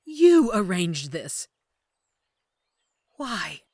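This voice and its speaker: Woman acting as imperious fantasy queen mother, scheming n theatrical, really lively pitch, dry close sound. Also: extremely slow, no echo, heavily acted. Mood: wounded pleading. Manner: haughty, formal, theatrical. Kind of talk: fantasy audio roleplay acting.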